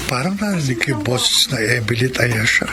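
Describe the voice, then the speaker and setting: A man's voice: medium pitch, lively intonation, brisk, over music, lightly acted. A man playing a betrayed, melodramatic young lover in a Filipino radio romance drama. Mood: puzzled.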